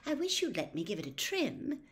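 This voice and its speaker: A woman's voice: high-pitched, huge pitch swings, voice pushed up. Lady doing a bossy loving storybook mum, fussing away, really up and down voice. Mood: fond nagging. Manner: fussing, brisk nagging. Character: fussy, bossy, loving middle-aged mother.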